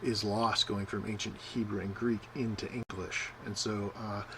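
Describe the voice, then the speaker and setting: A man with a fairly low voice gives a loose, conversational sermon, filling gaps with "uh" and checking in with "right?". A faint hum of room noise sits under the recording. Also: level tone, moderate pace, a bit bright, rough recording. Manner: rambling, many fillers, asks "right?" often. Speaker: preacher. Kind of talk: informal sermon.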